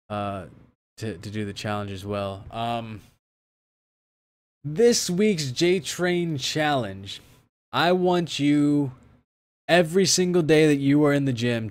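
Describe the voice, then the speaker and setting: A young man hosting a fitness livestream, chatting casually with viewers, rambling with many restarts and fillers, and turning emphatic when setting a challenge. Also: mid-range voice. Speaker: fitness streamer host.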